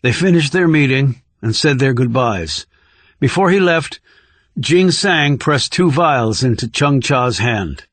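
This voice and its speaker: A man's voice: medium pitch, lively intonation, slow pace, a bit bright, no room sound. A man narrating a thriller audiobook in a controlled, serious, scripted style.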